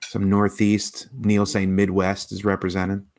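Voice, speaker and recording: A man with a fairly low voice co-hosts a casual chat and reads out comments from viewers. He speaks in a relaxed way and often repeats a word as he gets going. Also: measured pace. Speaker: co-host reading chat.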